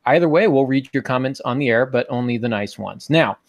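A man's voice: medium pitch, brisk, no room sound, clean studio sound. A man in his forties hosting a podcast about writing, talking conversationally in an easygoing, lightly joking way. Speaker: podcast host and writing teacher. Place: small home office, indoors.

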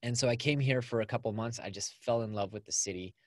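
A man's voice: medium pitch, fast talker, darkish tone, no echo. A man, talking as a podcast guest in an upbeat, chatty way over a video call. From California. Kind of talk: casual conversational interview. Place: small home studio with acoustic foam.

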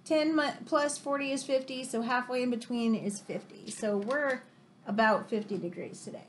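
An American woman in her thirties reading a picture book aloud like a teacher to children, expressive and exclamatory, with chatty questioning asides. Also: fairly high pitch, moderate pace, slight background noise. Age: 30s. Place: room in a home, indoors.

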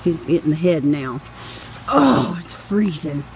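Slow, giggly woman. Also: sits low, big pitch swings, background noise. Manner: chatty, giggly, affectionate. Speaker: vlogger filming her husband. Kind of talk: casual home video narration. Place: outdoors in a snowy yard.

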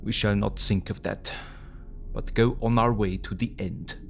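Foreign professor, vampire hunter, unhurried, earnest, courteous, formal phrasing, audio drama, faint music. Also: male voice, on the low side, fairly even intonation, creaky. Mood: regretful. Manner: formal, solemn, quaintly phrased.

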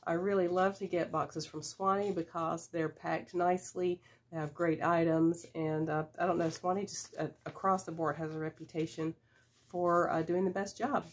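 A woman's voice: fairly low pitch, level tone, moderate pace. A woman in her fifties with a stuffy head cold, chatting casually and unhurriedly as she unboxes items, with small laughs and fillers. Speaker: reseller vlogger. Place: small room with shelves of boxes, indoors. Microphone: camera at desk distance.

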